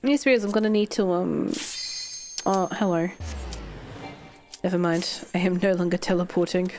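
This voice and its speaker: A woman's voice: lowish voice, fairly even intonation, moderate pace, a little mellow, soft background music. An Australian woman streaming a game, chatting casually and rambling with some swearing, her throat a little irritated and her voice a bit worn. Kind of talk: casual livestream chat while gaming.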